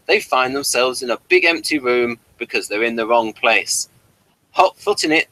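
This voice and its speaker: A man's voice: fairly high pitch, bright, forceful tone, low-quality recording. A man hosting a review show, reading aloud from an episode guide and breaking off for informal, off-the-cuff remarks.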